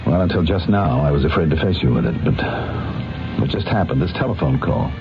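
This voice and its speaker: An actor playing a weary, guilt-ridden married lover in a 1970s radio drama, earnest and theatrical. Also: male voice, rumbling low, lively intonation, brisk. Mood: guilty, earnest. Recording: dull old radio recording, music behind.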